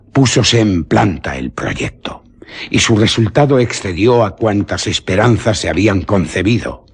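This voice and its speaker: A man with an accent from Spain narrating a scripted suspense tale for radio theatre in a solemn, measured, literary manner. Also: low-pitched, husky.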